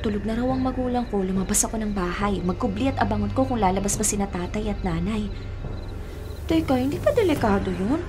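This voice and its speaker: A woman's voice: a little high, close to an everyday voice. A young Filipino woman acting a polite, puzzled teenage girl in a radio drama, with music behind. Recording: music bed under the dialogue.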